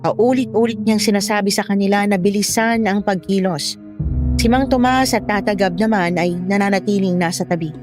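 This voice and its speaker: Casting: actress as young wife, first-person horror narrator, mid-pitched, with prominent music behind. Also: female voice, steady tone, moderate pace, close to an everyday voice. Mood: tense, recounting. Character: young wife retelling her frightening ordeal. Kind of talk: scripted horror story narration.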